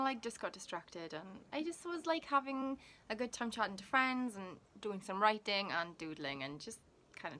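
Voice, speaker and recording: A woman's voice: fairly high pitch, bright, forceful tone, slight background noise. A young woman from Wales with a Welsh accent, whose first language is Welsh, chatting casually in a rambling vlog with giggly asides. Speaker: vlogger.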